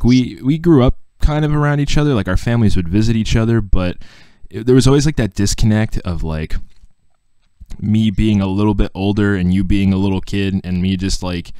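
A man's voice: on the low side, smooth, no room sound. A man in his twenties hosting a podcast over a video call, chatting casually and rambling with lots of "like"s. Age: 20s. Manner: relaxed, rambling with many "like"s. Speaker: podcast host. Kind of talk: casual chat.

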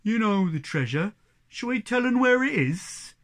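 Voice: mid-range voice, sing-song, measured pace, voice pushed up. A storyteller voicing a friendly, gossipy farm cow with homely rural speech in a folk tale. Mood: chatty.

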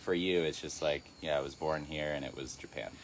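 A man's voice: extremely low pitch, steady tone, a little fast. A man co-hosting a live stream outdoors, chatting casually and reading out viewer comments. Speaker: co-host. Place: outdoors in a park.

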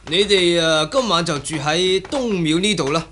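An actor playing a greedy, leering fake monk, grudging and oily, in Hong Kong Cantonese radio drama. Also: male voice, fairly high pitch, normal speed, close to an everyday voice. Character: greedy, leering bandit posing as temple monk. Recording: faint background noise, old radio drama.

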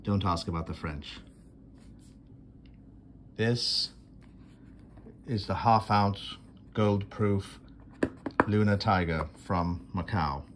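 Low-voiced dry man narrating a coin unboxing. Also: background noise. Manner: dry self-deprecating, unhurried with pauses. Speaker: coin video host. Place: indoors at a marble tabletop. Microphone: phone camera held over a table.

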